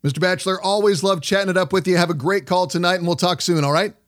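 A man's voice: sits high, quick pace. A man hosting a sports radio show, giving commentary and conducting interviews.

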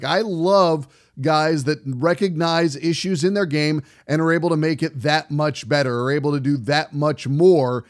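Male, sports radio host, slightly high voice, fluent wordy delivery, pregame commentary. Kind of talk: pregame show hosting and commentary.

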